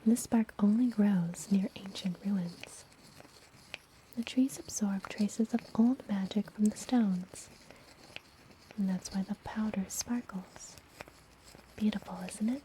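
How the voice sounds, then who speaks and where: A woman playing a friendly, mysterious witch healer in a whispered ASMR fantasy roleplay, soothing and gently teasing. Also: medium pitch, slight background noise, lightly acted, low-quality recording. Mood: calm, instructive. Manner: soothing, unhurried, softly whispered.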